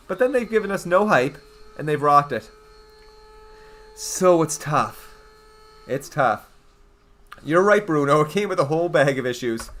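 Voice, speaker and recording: A man's voice: on the high side, a bit bright. A young man from eastern Canada with a Canadian accent, chatting casually and rambling on his game livestream as he answers his viewers. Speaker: game streamer.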